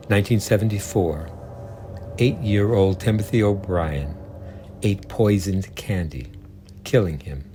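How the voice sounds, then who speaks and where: A man with a fairly low voice narrates in a scripted documentary style. He reads true accounts as a storyteller would for a video. Music plays clearly behind his voice.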